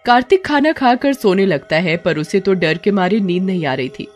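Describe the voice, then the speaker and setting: A storybook narrator with a mid-pitched voice that rises and falls widely for drama. The telling is scripted and lively, and faint music plays underneath. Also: normal speed.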